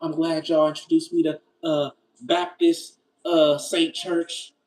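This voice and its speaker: A man mimicking a churchy woman who introduces herself to a congregation, talking slowly in a mock-earnest way. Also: fairly high pitch, little pitch movement, darkish tone, distant mic, voice pushed up, rough recording.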